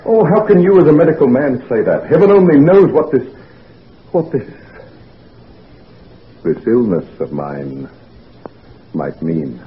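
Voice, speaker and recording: An actor playing an anguished, secretive convalescent gentleman doctor in a brooding, melodramatic 1940s radio drama style. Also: mid-range voice, big pitch swings, a little slow, pauses often. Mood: distraught. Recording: noisy, tinny 1940s radio recording.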